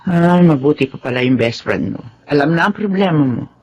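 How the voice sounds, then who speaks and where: A woman's voice: deep voice, lively intonation, brisk, slightly rough, lo-fi sound. An actress playing a concerned, easily shocked middle-aged Filipino mother in a radio drama, motherly and probing. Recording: prominent music behind the voice.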